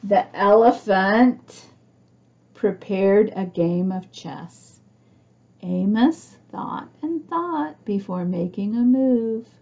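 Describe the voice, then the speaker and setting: A woman's voice: mid-range voice, drawn-out words, gravelly, muffled recording. A woman reading a picture book aloud to young children, expressively, with chatty asides and questions for her listeners. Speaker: storybook reader for young children.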